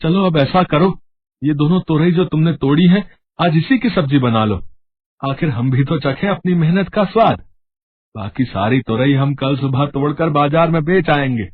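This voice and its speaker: A man playing a poor, loving farmer and father, earnest and melodramatic, in an animated moral story. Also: on the high side, normal speed, no room sound, phone-quality sound, natural voice. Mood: happy, affectionate.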